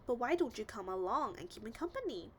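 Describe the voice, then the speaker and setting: A woman reads as a reassuring female dental assistant in a children's storybook. She explains things playfully in a high, very lively voice with faint noise behind it. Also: brisk.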